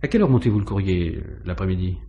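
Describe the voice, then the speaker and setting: An actor playing a methodical, insistent police inspector questioning a suspect in a 1950s French radio mystery style. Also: male voice, low voice, expressive, fast, warm tone, highs cut off. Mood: probing. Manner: insistent, probing, authoritative questioning.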